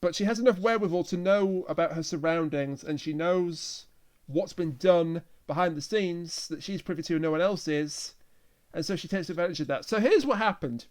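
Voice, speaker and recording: A man's voice: high-pitched, normal speed. A man co-hosting a review podcast, casually retelling a plot. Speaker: podcast co-host.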